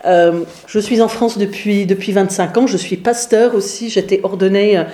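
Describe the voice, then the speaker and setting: A woman's voice: mid-range voice, fairly even intonation, normal speed, dark tone, roomy sound. A middle-aged woman pastor from England with an English accent, giving an informal talk with many hesitations and fillers. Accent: English, with some Swiss. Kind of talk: informal lecture to a group.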